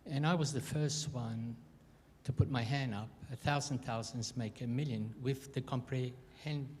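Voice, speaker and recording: A man's voice: mid-range voice, steady tone, measured pace, a little mellow, slight background noise. Man telling an anecdote into a hall mic. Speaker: audience member. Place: church hall, handheld mic. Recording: echoey hall.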